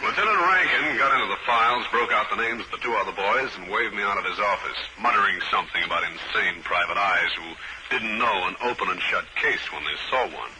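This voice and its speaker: A man's voice: lowish voice, expressive, fairly quick, close to an everyday voice. An actor playing a tough, cool private detective who narrates his own case in a 1950s radio drama. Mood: matter-of-fact. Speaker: lead character and narrator. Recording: very noisy old radio recording.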